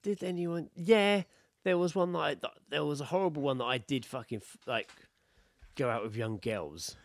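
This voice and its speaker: A man's voice: on the high side, normal speed, gravelly, no room sound, studio quality. A British man co-hosting a podcast in casual, jokey, sweary banter, sometimes reading stories out. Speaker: podcast co-host.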